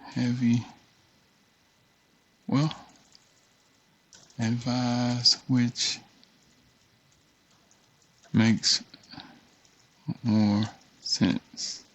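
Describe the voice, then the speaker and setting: A man's voice: medium pitch, little pitch movement, drawn-out words, long pauses. A man hosting a casual tutorial, reading a document aloud and muttering offhand asides to himself as he works. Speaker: tutorial host. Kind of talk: casual screen-share walkthrough, reading a document aloud with off-the-cuff remarks.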